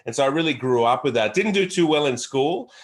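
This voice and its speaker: A man's voice: mid-range voice, animated, fairly quick. A man with an Australian accent answering interview questions as a guest entrepreneur, in an earnest, emphatic, motivational way with repeated phrases.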